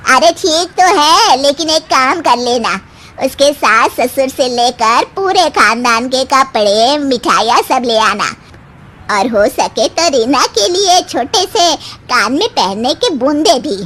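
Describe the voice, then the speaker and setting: A woman's voice: sits high, animated, takes it slowly, a bit bright, heavily acted. A woman voicing a demanding, nagging older mother-in-law in a family moral story.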